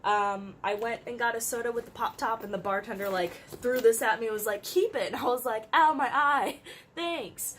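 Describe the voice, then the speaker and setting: A woman's voice: fairly high pitch, slight background noise. Chatty, congested young woman vlogger. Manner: chatty, uses "like" often, light laughs. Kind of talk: casual chat. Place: her room.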